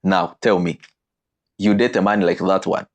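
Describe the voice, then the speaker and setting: A man giving an animated teaching talk on marriage, his voice jumping in pitch and slowing down for emphasis. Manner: animated, with sudden pitch leaps and slowed emphatic phrases.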